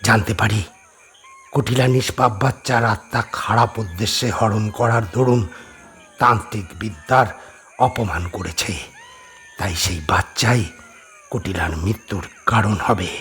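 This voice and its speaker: A man playing a low-voiced, wrathful holy man's spirit. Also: a little slow, long pauses, a bit bright, faint music behind. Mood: solemn. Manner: emphatic, solemn, commanding. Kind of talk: audio horror drama acting.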